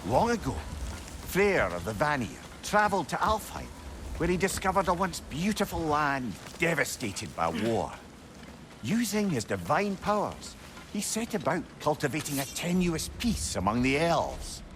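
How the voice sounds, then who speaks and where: An actor voicing a witty old disembodied head, who tells stories in a wry, lilting way. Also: male voice, fairly high pitch, sing-song, a little slow, noisy background. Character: witty wise disembodied head, older male.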